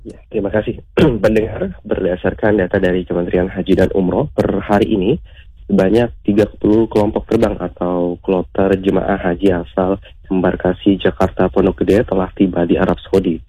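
A man with an Indonesian accent giving a formal, measured live news report as a radio reporter. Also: sits low, fairly even intonation, warm tone, husky, no echo, rough recording.

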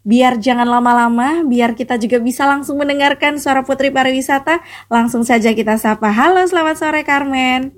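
A woman hosts a radio interview in a friendly, chatty way and drops English words into her speech. Her voice is high. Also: a little fast. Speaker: radio presenter.

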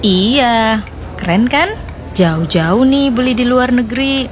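A woman's voice: fairly high pitch, slow. The gushing, label-struck young woman an actress in a radio spot, drawling her admiration over music as if leaning across a clinking table. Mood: gushing, impressed. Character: showy, impressionable young woman fond of famous brands. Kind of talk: scripted radio ad acting.

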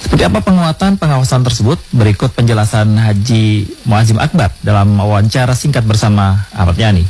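A man presenting a radio news segment in a brisk, scripted way. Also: on the low side, noisy background.

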